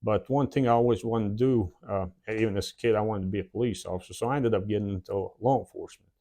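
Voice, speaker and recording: A man in his forties from the former Yugoslavia, with a Slavic accent and a Southern drawl, telling his own story plainly with many "uh" pauses in his shed workshop. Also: on the low side, warm tone. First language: a South Slavic language. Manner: plain, unhurried, frequent "uh" pauses. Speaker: channel host. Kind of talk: casual personal storytelling.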